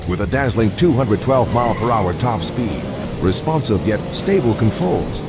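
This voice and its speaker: A man's voice: on the low side, very animated, normal speed, loud music behind the voice. A man reading scripted promotional documentary narration in a polished, emphatic announcer delivery.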